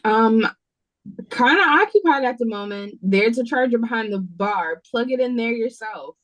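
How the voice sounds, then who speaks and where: Bossy, higher-voiced waitress played by a woman. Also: animated, normal speed, fairly bright tone, highs cut off. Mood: busy, annoyed. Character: bossy, impatient, sarcastic restaurant waitress. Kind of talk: audio play read-through. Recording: video call recording.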